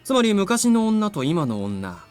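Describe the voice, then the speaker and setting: A man playing a teasing, slangy high school boy in a visual novel. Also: wildly swooping pitch, a little fast, soft background music. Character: teasing, casual high school classmate, male. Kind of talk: Japanese visual novel voice acting.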